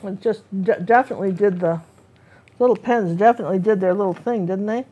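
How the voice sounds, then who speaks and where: A woman's voice: mid-range voice, fairly quick, warm tone, husky, dull audio. A woman teaching a live painting lesson, chatting loosely and trailing off as she works. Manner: chatty, rambling, self-interrupting. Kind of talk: casual live painting demo.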